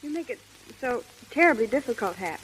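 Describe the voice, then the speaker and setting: An actress playing a gentle, well-bred young woman with polite, proper diction in 1930s radio acting style. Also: a little high, lively intonation, a little fast, slightly rough. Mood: flustered, apologetic. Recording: noisy, hissing 1930s radio recording.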